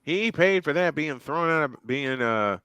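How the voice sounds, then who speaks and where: Male play-by-play caller, pitch jumps on big plays, repeats himself; dry, close sound. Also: expressive, measured pace, bright, forceful tone, smooth, no echo. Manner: rises sharply in pitch and volume on big plays, repeats phrases. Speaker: play-by-play announcer. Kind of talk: live football play-by-play commentary.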